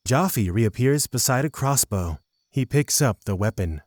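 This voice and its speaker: A man's voice: mid-range voice, expressive, measured pace, dry recording, clean studio sound. A man narrating a scripted fantasy audio drama.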